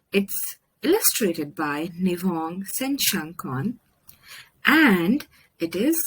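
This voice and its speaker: A woman with an Indian accent reading a children's story aloud, expressively and deliberately, with dramatic pauses. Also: medium pitch, slightly rough. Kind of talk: children's picture book read-aloud.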